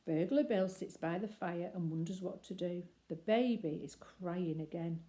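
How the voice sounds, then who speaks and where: A woman storyteller reads a picture book aloud. Her voice sits a little low and has a relaxed, mellow tone. She phrases deliberately and expressively, pausing for emphasis. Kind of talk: expressive children's story reading.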